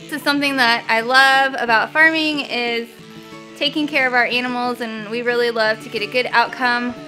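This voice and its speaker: A woman in her thirties narrating a casual homestead vlog in a gentle, soft-spoken way, recorded on a handheld camera indoors. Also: on the high side, fairly even intonation, normal speed, low-quality recording. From Missouri, USA. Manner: soft-spoken, gentle, talks to animals and children. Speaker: homesteading vlogger. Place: farm building and home kitchen, indoors. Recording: music playing under the voice. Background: background music.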